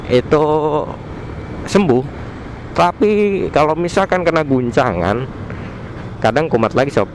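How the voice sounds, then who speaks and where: A man with a colloquial Jakarta Indonesian accent gives a casual, slangy gear review into a helmet headset mic while riding outdoors. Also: fairly high pitch. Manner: informal, slangy. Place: outdoors on a motorbike at night. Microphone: modified headset mic inside helmet. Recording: loud road and engine noise, helmet mic. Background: traffic and vehicle noise.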